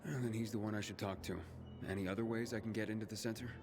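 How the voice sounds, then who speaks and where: A man's voice: lowish voice, fairly even intonation, quick pace, lightly acted. An actor playing a wary male pilgrim courier who talks bluntly and tersely, in a game cutscene. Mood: curious. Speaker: player character, a pilgrim courier. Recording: game cutscene with music bed.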